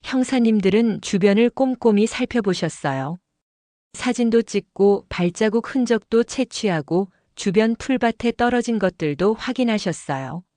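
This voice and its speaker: Woman narrating a story calmly. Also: medium pitch, moderate pace, dry recording. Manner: calm storytelling, first person. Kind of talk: scripted audio drama narration.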